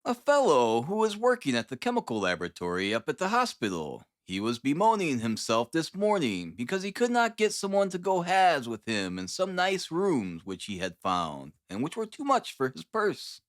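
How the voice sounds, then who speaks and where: A man voicing a friendly, curious young Victorian gentleman in audiobook dialogue. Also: fairly high pitch, wildly swooping pitch, normal speed, few pauses, dry recording, studio quality. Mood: curious, chatty.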